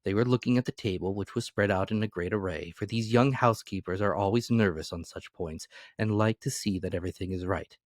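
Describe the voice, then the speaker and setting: A man narrating a classic novel as a dramatic reading, expressive and animated. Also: sits low, brisk, hardly any pauses, dry recording, clean studio sound.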